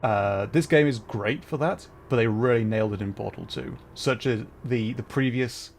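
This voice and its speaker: A man's voice: medium pitch, normal speed. A man livestreaming a game, giving casual, rambling commentary and chatting as he plays. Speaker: livestreamer, VTuber. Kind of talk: casual gameplay commentary and chat. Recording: faint background noise, game ambience.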